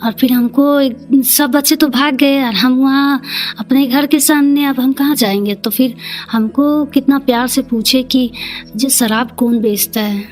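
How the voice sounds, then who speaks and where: A woman with an eastern Indian regional Hindi accent shares a personal story. Her voice sits fairly high and moves very slowly, in halting, plain, colloquial phrases. Soft music plays behind her. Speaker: community leader, podcast guest.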